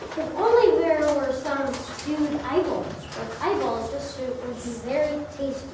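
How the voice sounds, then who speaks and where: A woman's voice: high-pitched, a little slow. A woman playing a wily, polite, hungry traveler in amateur reader's theater, reading from a script with stumbles. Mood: wistful, coaxing. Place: large echoey room, recorded from afar. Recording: echoey room, distant mic, background noise.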